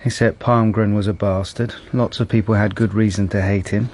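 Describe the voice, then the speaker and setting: An actor playing a matter-of-fact, world-weary police detective in a naturalistic radio drama, casual and pragmatic. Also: male voice, steady tone, moderate pace, a little mellow, natural voice. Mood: tired, low-key. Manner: casual, pragmatic, low-key.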